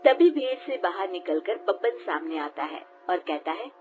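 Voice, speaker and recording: A woman's voice: lowish voice, sing-song, moderate pace, loud music behind the voice. A woman narrating a scripted cartoon story as a storyteller. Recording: dull lo-fi, noisy background.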